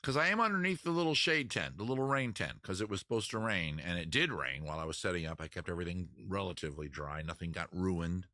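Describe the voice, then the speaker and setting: A man's voice: low voice, lively intonation, fast talker, hardly any pauses, sharp tone, dry recording, studio quality. A man hosting a live-streamed beer-and-songs show, rambling and joking about himself between songs, talking to the chat from under a small outdoor rain tent. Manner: rambling, self-mocking, chatty with the chat. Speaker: host, singer-guitarist. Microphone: microphone on a live-stream setup. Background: guitar.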